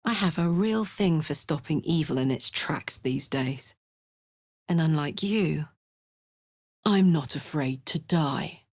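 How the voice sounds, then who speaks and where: A woman's voice: deep voice, lively intonation, takes it slowly, a little mellow, no room sound, studio quality, exaggerated voice. A woman playing a brash, battle-hungry warrior with a big heart in a video game, speaking informally and emphatically. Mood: battle-ready, determined. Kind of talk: video game character voice lines.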